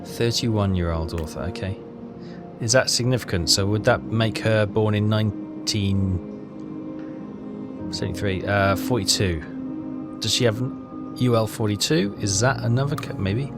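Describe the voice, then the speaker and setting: A man giving casual game walkthrough commentary, reading text aloud and haltingly thinking out loud. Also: fairly low pitch. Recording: clear music behind the voice. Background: game music.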